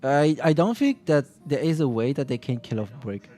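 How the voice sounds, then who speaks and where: A man's voice: medium pitch, very animated, normal speed, dark tone, soft background music. A man streaming and chatting casually about a game, rambling with frequent restarts and self-corrections.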